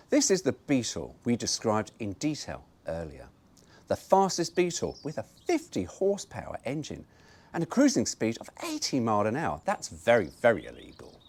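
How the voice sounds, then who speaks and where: A man's voice: medium pitch, darkish tone. A man reading an old car brochure aloud, breaking off for wry, mock-indignant asides.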